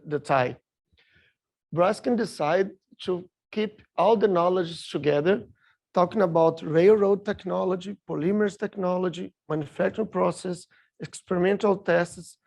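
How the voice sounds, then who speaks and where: A middle-aged man with a Brazilian Portuguese accent, giving a halting conference talk full of filler sounds, a Portuguese speaker working in English. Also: sits high, creaky, darkish tone. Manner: halting, many filler sounds, apologetic about his English. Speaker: industry speaker at a rail seminar. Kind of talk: conference presentation with slides.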